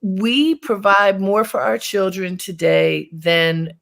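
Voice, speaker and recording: A woman hosting an interview who speaks in a thoughtful, measured way and readily agrees with her guest. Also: medium pitch, steady tone, vocal fry. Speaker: host. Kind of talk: conversational interview.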